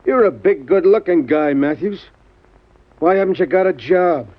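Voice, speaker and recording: An actor playing a bossy, suspicious police lieutenant who gives curt commands and questions in an old radio crime drama. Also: male voice, sits high, expressive, normal speed. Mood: stern, accusing. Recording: muffled old radio recording.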